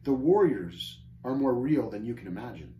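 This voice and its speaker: A man voicing a solemn, kindly spiritual guide in a storybook tale, speaking in measured, archaic phrases. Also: mid-range voice, animated, mellow, muffled recording, natural voice. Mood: solemn counsel. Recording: roomy echo, faint background noise.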